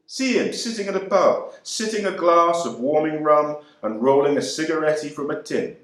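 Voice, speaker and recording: A man performing a dramatic literary monologue in a measured, deliberate, emphatic way. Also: on the high side, animated, fairly bright tone. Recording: very echoey room.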